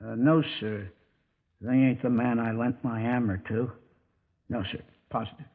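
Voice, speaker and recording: An actor playing a boisterous, hard-drinking former navy petty officer with slangy, rough talk in a 1950s radio drama. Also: male voice, medium pitch, steady tone, moderate pace. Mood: hungover, subdued. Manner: slangy, rough-and-ready working man's talk. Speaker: witness at an identification parade. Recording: dull, lo-fi 1950s radio recording.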